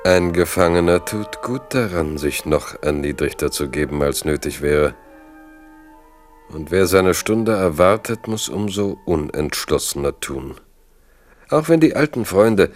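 A man narrating a radio play in a scripted, literary storytelling style. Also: a bass voice.

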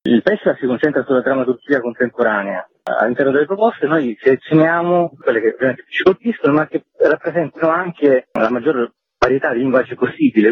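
A man's voice: medium pitch, slightly rough. A man giving explanatory answers as an interview guest, a festival's artistic director. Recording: tinny phone-like line, roomy echo.

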